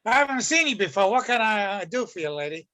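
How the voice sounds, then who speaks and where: A man's voice: sits high, big pitch swings, fast, pushed and bright, full character voice. A man playing a grumpy, streetwise middle-aged lunch truck worker in an amateur readers theater reading. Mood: brash. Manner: streetwise griping, slangy. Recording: videoconference call audio.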